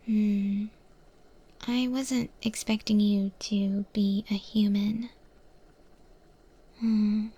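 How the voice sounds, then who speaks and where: A woman voicing a wry, easygoing eldritch monster chef in a breathy, whispered ASMR roleplay, speaking with hesitant, thoughtful pauses. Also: medium pitch, level tone, dark tone. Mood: curious. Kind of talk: ASMR roleplay, softly spoken to the listener.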